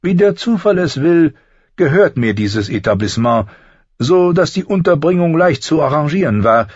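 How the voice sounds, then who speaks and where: A sly, smug schemer from a fantasy audiobook , acted by a man. The voice is lifted above the reader's own and has a husky rasp. The delivery is teasing and theatrical, in a dull, roomy recording. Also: on the high side, sing-song, moderate pace, a little mellow, pitched above the performer's own voice. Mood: smug, amused. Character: sly mocking small lord with pointed goatee, brothel owner.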